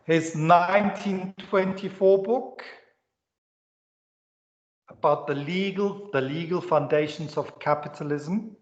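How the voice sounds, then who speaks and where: A man with a Swiss accent lectures students in a meandering way, circling back and restarting his phrases. His voice sits a bit high. The recording is echoey and muffled, as from a lecture hall. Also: takes it slowly, long pauses. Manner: rambling, repeats words and restarts often. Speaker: university lecturer. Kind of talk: economics lecture. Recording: echoey lecture room, dull lo-fi sound.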